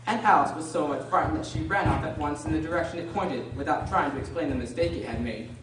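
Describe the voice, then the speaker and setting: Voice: medium pitch, fast, close to an everyday voice. A narrator reading a scripted story for a radio drama. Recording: echoey room, distant mic, faint noise.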